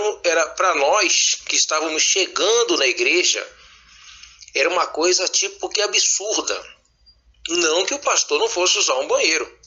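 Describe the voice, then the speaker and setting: A man's voice: on the high side, sharp tone, slightly rough. A man from Salvador, a former pastor giving an earnest, reflective spoken testimony, slightly congested with a cold. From Salvador, Bahia, Brazil. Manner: earnest, reflective, with frequent pauses and restarts. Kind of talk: recorded spoken testimony addressed to a listener. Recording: dull lo-fi voice message.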